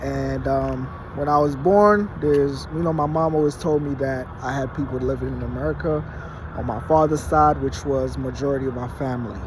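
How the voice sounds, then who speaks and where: A man's voice: medium pitch, moderate pace. A man in his late twenties, born in Haiti, talking casually to his phone in a vlog with many fillers and restarts. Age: late 20s. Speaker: vlogger. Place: parking lot at night, outdoors. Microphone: phone propped against a wall. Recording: noisy outdoor phone recording. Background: traffic and car noise.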